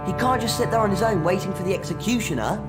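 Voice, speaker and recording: An actor playing an earnest, worried young British schoolboy wizard in a video game, urgent in manner. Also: male voice, high voice, fast, close to an everyday voice. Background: music.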